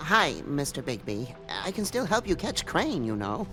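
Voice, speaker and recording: A man's voice: on the high side, big pitch swings, normal speed, sharp tone. A man voicing a meek, polite monkey servant in a video game, timid, deferential and hesitant, eager to help. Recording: music under the dialogue.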